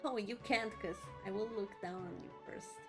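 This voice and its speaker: A woman doing casual let's play commentary as a streamer, halting and offhand. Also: mid-range voice. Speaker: VTuber streamer. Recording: game music behind. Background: video game music.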